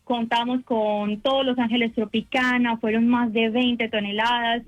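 Lady radio host, highish voice, calm and reassuring, muffled lo-fi line. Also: normal speed. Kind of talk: on-air chat. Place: radio station. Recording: lo-fi phone-like line.

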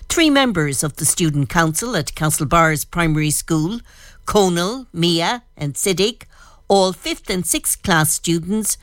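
A woman delivers a radio news report and interview questions. Her voice sits fairly low, and the sound is close and dry. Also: dry recording.

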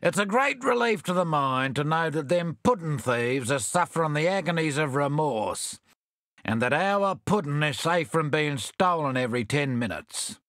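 A man's voice: fairly high pitch, lively intonation, moderate pace, a bit bright, dry recording, clean studio sound. A man voicing a grumbling old male koala sailor in a storybook. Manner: grumbling, blustering.

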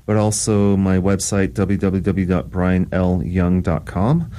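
A man, an author guest on a radio show, speaking in a measured, plain way. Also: deep voice, fairly even intonation, dark tone.

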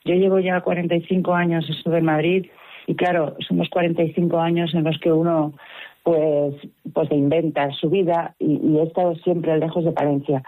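A woman's voice: low-pitched, flat delivery, normal speed. A middle-aged woman with an accent from Spain, speaking informally in a lo-fi soundbite. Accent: Spanish from Spain. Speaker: poet, festival speaker. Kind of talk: informal interview answer. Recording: dull lo-fi radio soundbite.

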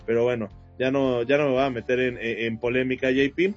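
A Mexican man with a Mexican Spanish accent, co-hosting a casual sports chat show, talking in an animated, mocking way and often laughing. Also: medium pitch, fairly quick, dull audio, soft background music. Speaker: show co-host.

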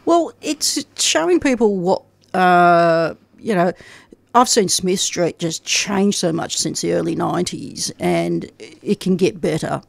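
Rambling gravelly middle-aged male host. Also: high-pitched, lively intonation, slow, no echo. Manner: rambling, many restarts. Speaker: show host. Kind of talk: casual interview chat.

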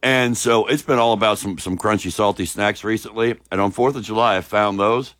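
A man's voice: fairly low pitch, steady tone, a bit bright, dry recording, clean studio sound. A man co-hosting a sports radio show, chatting casually and rambling about snacks. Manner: chatty, rambling, quick to laugh.

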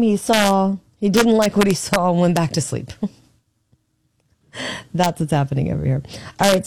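A woman filling in as a radio talk host from her home studio, hoarse and losing her voice, talking in a chatty, opinionated way. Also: low-pitched, dark tone. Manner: chatty and opinionated, thinking aloud. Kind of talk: casual talk radio commentary.

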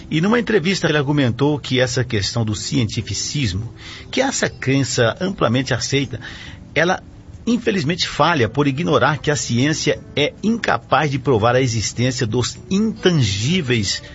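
A man's voice: mid-range voice, gravelly. Chatty male radio pastor, lo-fi sound. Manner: chatty, explanatory, often adds "né?". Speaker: radio program host and pastor. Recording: lo-fi radio broadcast with faint music.

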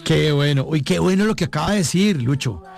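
Gravelly, higher-voiced Colombian radio host, a man. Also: expressive, a little fast, a little mellow, faint music behind. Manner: appreciative, affirming. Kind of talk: conversational interview.

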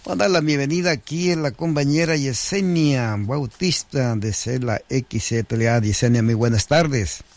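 A man's voice: medium pitch, moderate pace, hardly any pauses, no echo. Man hosting a community radio show. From Oaxaca, Mexico. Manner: warm formal greetings, long lists of villages. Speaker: radio host. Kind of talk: bilingual community radio greetings.

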